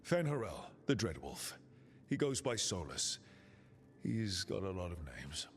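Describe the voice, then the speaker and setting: An actor playing a brash, defiant young assassin who leads a team in a video game, speaking with confident insistence. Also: male voice, low voice, sing-song, unhurried, slight background noise, full character voice. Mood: defiant, explaining. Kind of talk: dramatic video game dialogue. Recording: long reverb.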